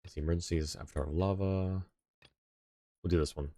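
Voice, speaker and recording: A man's voice: low voice, fairly even intonation, fairly quick, dark tone, faint music behind. A man streaming a game live, chatting casually and rambling as he thinks aloud. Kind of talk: casual livestream chat.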